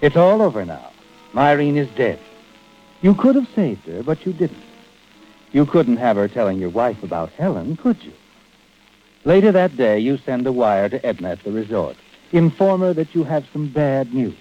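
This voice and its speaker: An ominous, all-knowing man narrates a vintage radio mystery. His mellow voice rises and falls dramatically with taunting insinuation. The old recording sounds dull. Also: mid-range voice, big pitch swings, normal speed, faint music behind. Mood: sinister, knowing. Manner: insinuating, taunting second-person address.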